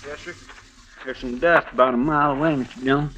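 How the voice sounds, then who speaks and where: An actor with a rural American accent playing an anxious, deferential deputy in an old radio western. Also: male voice, mid-range voice, fairly even intonation, fast talker, a bit bright, natural voice. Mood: dutiful. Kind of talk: 1950s radio western acting. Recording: muffled old radio broadcast recording.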